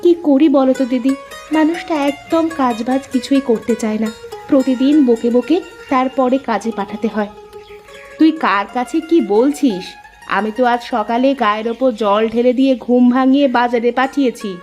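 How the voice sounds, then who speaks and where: A woman's voice: on the high side, normal speed, a little mellow, faint music behind, lightly acted. A woman voicing a chatty, exasperated, neighbourly village housewife in a cartoon. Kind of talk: Bengali cartoon dub dialogue.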